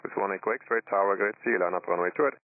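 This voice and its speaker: Guy doing air traffic control, quick clipped calls, thin radio sound w faint static. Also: low voice, steady tone, narrow, tinny audio. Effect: aviation radio. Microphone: air traffic radio transmission.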